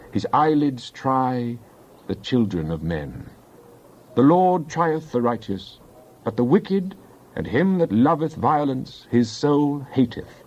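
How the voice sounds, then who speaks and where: A man reads scripture as a dramatic narrator, solemn and declamatory. His pitch sits in the middle of the male range and he phrases each line deliberately. The recording is somewhat dull and band-limited. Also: darkish tone. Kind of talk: dramatized Bible reading.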